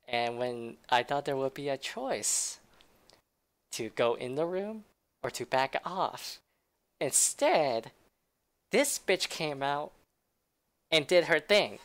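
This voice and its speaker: A woman reading a visual novel aloud in a game playthrough, voicing the inner narration with quick reactions. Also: very deep voice, lively intonation. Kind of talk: let's play narration.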